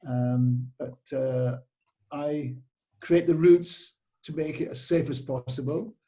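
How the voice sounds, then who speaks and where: Dark-toned British man, rambling. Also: medium pitch, slow. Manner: rambling, frequent fillers and restarts. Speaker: cycling tour operator. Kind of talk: casual interview.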